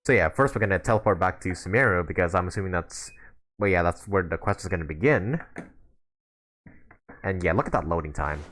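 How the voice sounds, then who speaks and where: A man's voice: sits low, animated, a little fast, long pauses, fairly bright tone. A man streaming a video game live, chatting casually and often rephrasing himself as he comments. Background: game music.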